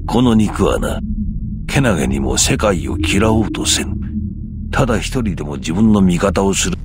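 Deep, sinister ancient sorcerer. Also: male voice, creaky, noisy background, heavily acted. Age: older. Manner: archaic old man's speech, savoring, insinuating. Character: ancient scheming sorcerer, cruel, mock-courteous old man. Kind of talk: Japanese visual novel voice acting.

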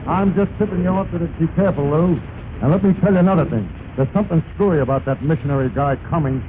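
A man's voice: a little high, fast talker, natural voice. A man playing a wary, slangy tough-guy barkeep from the Bowery in a 1930s radio comedy. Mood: warning. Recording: muffled, noisy 1930s radio recording.

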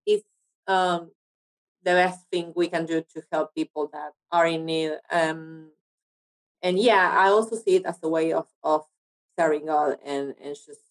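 A woman's voice: medium pitch, rough recording. A woman from Argentina with an Argentine accent, a native Spanish speaker, talking casually as an interview guest and often searching for her words. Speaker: interview guest, actress and TV producer.

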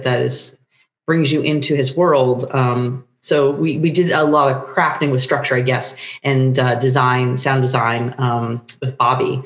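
A woman's voice: low voice, echoey room. A middle-aged woman talking in a rambling, enthusiastic way as an interview guest, on a video call from a home room. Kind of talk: casual interview chat. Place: book-lined home room, indoors.

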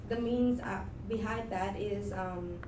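A young woman from Vietnam, a Vietnamese native speaker, sharing her story casually in a group with many pauses and ums. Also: mid-range voice. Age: young adult. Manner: hesitant, many ums and restarts. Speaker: student. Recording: roomy and distant with background noise.

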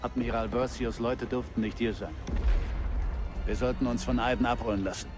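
An actor playing a worried, cautious alien rebel pilot in a video game, full of doubt. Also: male voice, medium pitch, steady tone, normal speed, over music, lightly acted. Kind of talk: dramatic video game acting.

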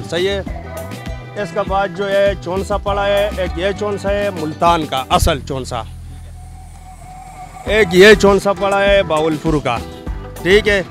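High-voiced Pakistani stallholder. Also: male voice, measured pace. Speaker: fruit seller. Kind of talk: informal on-camera interview reply. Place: open-fronted street fruit stall. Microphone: handheld microphone. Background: music.

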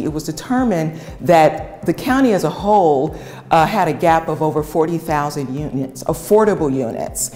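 A woman's voice: sits low, darkish tone. A middle-aged woman, a community development director, explaining a housing project in an earnest interview with a lapel mic. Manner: earnest, explanatory, cites figures. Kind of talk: documentary interview. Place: empty renovated house, indoors. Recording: long reverb of an empty room.

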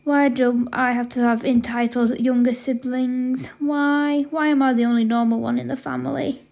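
Casting: woman as bossy older sister, high but mellow voice, scolding and fed up, deliberate. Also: level tone, gravelly. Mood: exasperated scolding. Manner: scolding, stern, exasperated. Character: bossy, exasperated big sister scolding younger siblings.

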